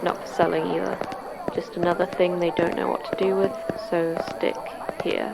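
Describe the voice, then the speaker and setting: A woman's voice: lowish voice, level tone, normal speed, vocal fry, very noisy. A woman playing a brusque, seasoned guide in a sci-fi horror audio drama, terse, commanding and matter-of-fact. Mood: brusque, guarded. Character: brusque, seasoned guide through a monster facility. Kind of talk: science fiction horror audio drama acting.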